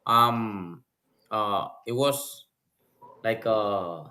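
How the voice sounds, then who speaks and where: A man in his forties from Puerto Rico with a Puerto Rican accent, chatting excitedly as a podcast guest over a video call and often restarting his sentences. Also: fairly low pitch, very slow. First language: Spanish. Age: 40s. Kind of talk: casual chat.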